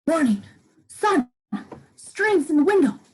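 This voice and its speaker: A woman's voice: high voice, big pitch swings, slow, a little mellow, exaggerated voice. A young woman playing a weary, haunted male professor who narrates his survival journal in a radio drama. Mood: elated. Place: living room at home.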